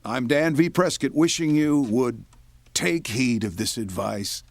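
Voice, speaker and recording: A man's voice: mid-range voice, a little slow, vocal fry, faint music behind. A man playing a solemn old-time radio announcer who hands out homely advice. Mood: solemn, folksy.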